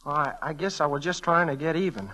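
A man's voice: a little high, fast, bright, forceful tone, poor audio. A man playing a teenage boy rival, by turns smug and sheepish, in a 1940s radio drama. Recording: vintage 1940s radio recording.

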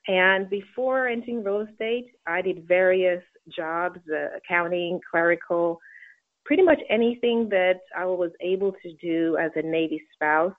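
A woman's voice: lowish voice, measured pace. A middle-aged woman from England with a mostly American accent and faint British traces, answering interview questions. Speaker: podcast guest, realtor. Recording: tinny narrowband phone line.

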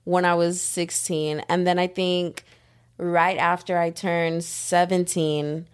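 A woman's voice: on the low side, level tone, slow, clear, resonant tone. Laughing young woman telling stories. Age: 20s. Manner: laughing, storytelling, slangy. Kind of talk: casual chat.

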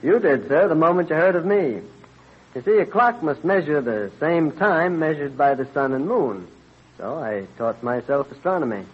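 Earnest, husky young craftsman. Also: fairly high pitch, animated, moderate pace. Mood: earnest, confident. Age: 30s. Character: earnest, confident young clockmaker, self-taught stargazer. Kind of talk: old-time radio drama acting. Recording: dull vintage radio recording.

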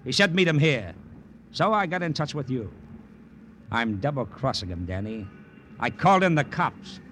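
An actor playing a slick, cynical double-crosser in an old radio crime drama, with a smooth, sardonic manner. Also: male voice, mid-range voice, very animated, normal speed, fairly bright tone. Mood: confident, explaining. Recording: noisy old radio broadcast.

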